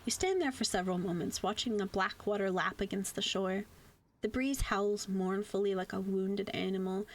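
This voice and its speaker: A young woman reading visual novel narration aloud in a literary, measured way. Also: medium pitch, a little hiss or hum. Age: young adult. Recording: music playing behind the voice. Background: music.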